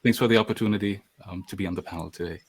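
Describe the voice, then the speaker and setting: A man speaking on a panel in a measured, articulate way. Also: low-pitched, fairly even intonation, husky. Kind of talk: panel discussion.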